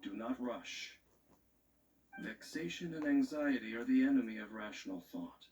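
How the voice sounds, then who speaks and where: An actor playing a solemn, cryptic, world-weary wanderer in a video game, speaking in a measured, formal way. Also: male voice, medium pitch, expressive, darkish tone, lightly acted. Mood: grave, advising. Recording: distant game audio with background noise.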